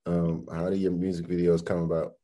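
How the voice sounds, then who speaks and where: A man's voice: low-pitched, level tone, a little fast, mellow. A man hosting an interview, asking questions in a laid-back, slangy way.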